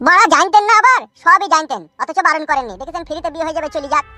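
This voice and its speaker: A cheeky, foul-mouthed cartoon villager with a rural West Bengal accent. The voice is high and very fast, argumentative and brash, over background music. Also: pushed and bright, dry recording, heavily acted. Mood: indignant. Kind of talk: comic cartoon dialogue.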